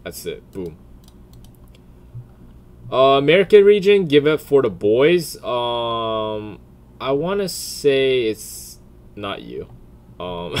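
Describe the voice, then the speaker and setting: A man giving casual off-the-cuff commentary in a crude, profane, blunt way, often deliberating out loud. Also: medium pitch. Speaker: video creator.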